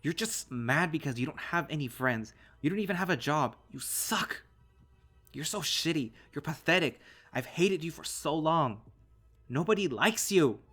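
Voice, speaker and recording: A man voicing an angry, defiant animal boy, wounded and desperate, with foul-mouthed shouted outbursts in an audio story. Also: mid-range voice, animated, moderate pace, few pauses, soft background music, pitched above the performer's own voice. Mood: tearful screaming rage.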